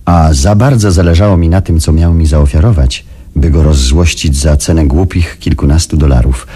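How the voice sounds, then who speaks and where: A calculating, ambitious man narrates a radio drama. His voice is very deep and dark, with a husky grain. He confides the story in a measured way. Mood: measured, watchful. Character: Frank, calculating ambitious businessman recounting events in first person.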